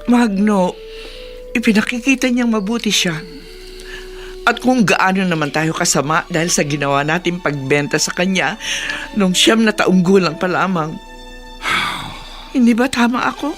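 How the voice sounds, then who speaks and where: A woman's voice: on the low side, unhurried, pauses often, soft background music, lightly acted. An actress playing a worried, insistent mother afraid of losing her son, in a melodramatic radio drama. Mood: anxious.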